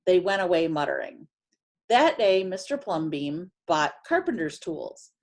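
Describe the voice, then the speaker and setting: Animated woman reading a picture book aloud. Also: fairly low pitch. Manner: animated, emphatic storytelling with drawn-out phrases. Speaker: teacher reading aloud to children.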